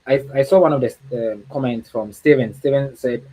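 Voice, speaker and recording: Man, Ghanaian accent, breathy voice, middle pitch, encouraging livestream guest, repeats phrases. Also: moderate pace, dark tone, rough recording. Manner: earnest, repeats words for emphasis, laughs often. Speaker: guest speaker. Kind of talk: motivational livestream talk.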